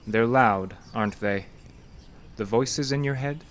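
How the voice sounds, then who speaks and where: An actor in an audio drama playing a composed, probing male psychotherapist with a measured, dry, clinical manner. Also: medium pitch, slight background noise, natural voice. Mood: needling, controlled.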